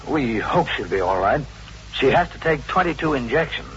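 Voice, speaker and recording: A calm, firm police captain in an old radio drama. His mid-range voice is patient, polite and businesslike. The recording is dull, with faint noise. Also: medium pitch. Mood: firm, reassuring. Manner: measured, matter-of-fact, polite. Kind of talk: 1950s radio drama acting.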